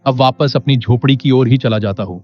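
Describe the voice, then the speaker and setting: An actor playing an honest, innocent poor farmer in an animated moral story, speaking simply and earnestly. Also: male voice, medium pitch, a little fast, close to an everyday voice. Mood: worried, dutiful. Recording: dull lo-fi audio. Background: music.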